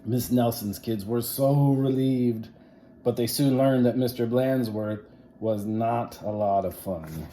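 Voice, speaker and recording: A man reading a children's story aloud like a teacher, expressive and deliberate, with dramatic pauses and asides. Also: mid-range voice, a little hiss or hum.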